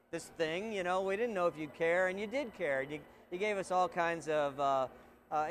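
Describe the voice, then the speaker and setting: A middle-aged man with a fairly high voice hosts a web show in a loose, chatty way, often restarting his sentences. A faint hiss of noise sits under his voice. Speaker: show host. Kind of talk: casual hosting chat.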